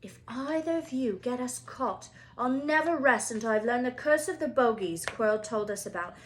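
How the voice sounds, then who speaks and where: A woman's voice: a little high, animated, normal speed, a little hiss or hum, close to an everyday voice, rough recording. A woman voicing a blunt, irritable schoolboy in a storybook, curt and grumbling. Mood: threatening. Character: blunt, irritable schoolboy, grumpy with his companions.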